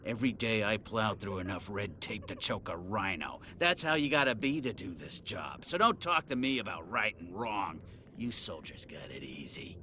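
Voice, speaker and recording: A man's voice: lowish voice, animated, moderate pace, pushed and bright, slight background noise. An actor playing a disgraced middle-aged policeman in a video game, boozy, sardonic and sneering. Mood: resentful.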